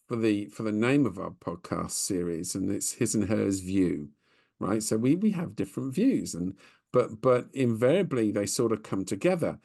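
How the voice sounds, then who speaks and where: A man co-hosting a business podcast in relaxed chat, thinking aloud as he speaks. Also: on the low side, creaky. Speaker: podcast co-host.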